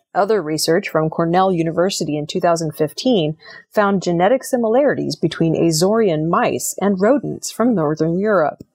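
A woman presenting scripted news narration in a measured, explanatory way. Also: fairly low pitch, a little mellow, husky.

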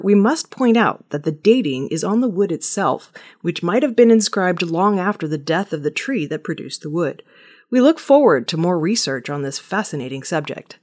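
Woman reading the news, slightly low voice. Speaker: news reader.

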